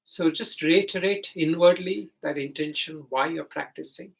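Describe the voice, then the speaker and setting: A man teaching guided meditation, giving gentle, simple step-by-step instructions with hesitant pauses. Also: on the high side, gravelly, poor audio.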